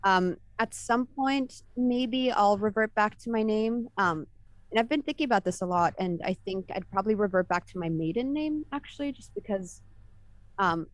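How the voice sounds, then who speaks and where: A woman's voice: medium pitch, lively intonation, moderate pace, dry recording. A woman from Italy with no Italian accent, a film composer answering interview questions in a chatty way, full of fillers and self-corrections.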